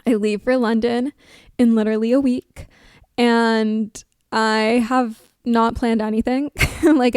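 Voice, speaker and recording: A woman in her twenties hosting an advice podcast, chatting casually with a level, monotone delivery and lots of "like" fillers. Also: on the high side, clear, resonant tone, no echo, studio quality. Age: 20s. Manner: chatty, says she sounds monotone, frequent "like" fillers. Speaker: podcast host.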